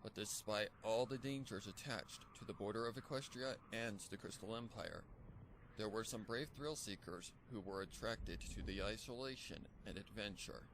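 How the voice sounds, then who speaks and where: A man's voice: lowish voice, moderate pace. A man reading a scripted story as a dramatic narrator. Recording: faint noise and ambience behind.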